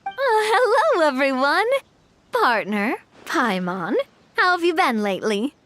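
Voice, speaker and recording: High-voiced, poised young leader. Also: female voice, big pitch swings, unhurried, full character voice. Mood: friendly greeting. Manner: polite, easygoing, gently teasing. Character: friendly, poised young woman, president of an organization. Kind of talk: animated video game dub acting.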